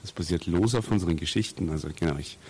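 A man's voice: low voice, fast talker, a little mellow, breathy edge. A man from Russia who grew up in Austria, a theatre maker giving reflective, explanatory interview answers and searching for his words. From Russia, raised in Austria.

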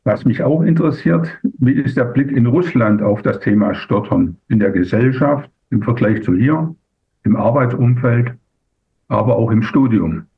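Guy asking interview questions, kinda husky, tinny phone-like sound. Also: medium pitch, animated, normal speed. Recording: phone-like, thin.

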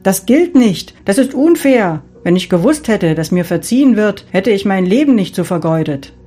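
A woman voicing an indignant man who has never sinned, shouting his protest in a high, loud voice. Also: animated, moderate pace, close to an everyday voice. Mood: outraged. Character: indignant sinless man, shrill and outraged.

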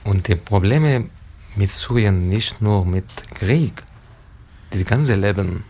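A man in his thirties from Syria, speaks in a very low, unhurried voice. He pauses often and searches for words in a language he is still learning. A faint hum of room noise sits behind him. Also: mellow. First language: Arabic. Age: 30s. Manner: slow, hesitant, searching for words. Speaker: interviewee, musician. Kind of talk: interview answers in learner German.

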